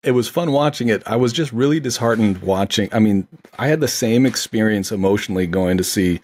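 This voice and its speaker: A man with a slightly low, faintly husky voice talks casually as a guest in a film discussion. He tells stories in an animated way, slipping into little acted-out quotes. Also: brisk. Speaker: podcast guest, film director.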